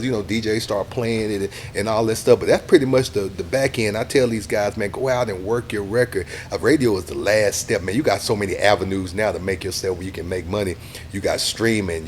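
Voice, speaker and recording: A middle-aged man, a radio DJ talking casually in an interview, animated and acting out what other people say. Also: on the low side, a little fast, a little hiss or hum. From Texas, USA. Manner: animated, acts out quoted people, says "you know what I'm saying" a lot.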